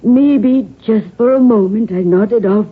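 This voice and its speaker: Husky Irish maidservant, distraught. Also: female voice, on the high side, measured pace. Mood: shaken. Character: distraught Irish peasant maidservant, deferential and superstitious. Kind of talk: 1970s radio drama acting. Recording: thin tinny 1970s radio broadcast.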